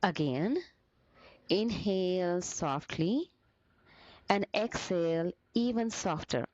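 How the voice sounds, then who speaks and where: A woman leading a guided meditation as a teacher, with gentle, soothing, deliberate phrasing broken by short pauses. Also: lowish voice, animated, no echo, lo-fi sound.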